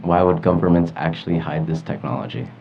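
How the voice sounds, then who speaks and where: A man's voice: sits low, steady tone, a little fast, creaky, over music. A young American man chatting casually as a podcast panelist. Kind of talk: casual chat. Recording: dull audio with faint room noise.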